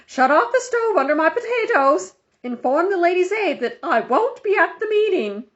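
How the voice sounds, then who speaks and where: A woman voicing a bossy, injured church lady from a children's storybook, shouting imperious commands in pain. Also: extremely high pitch, very animated, moderate pace, voice pushed up. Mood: commanding, pained. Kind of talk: children's storybook read-aloud. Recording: dull lo-fi home recording.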